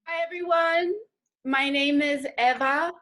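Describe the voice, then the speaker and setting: A woman's voice: high-pitched, steady tone, a little slow, fairly bright tone. A woman from Guatemala telling a group her personal story in an earnest way. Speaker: workshop participant.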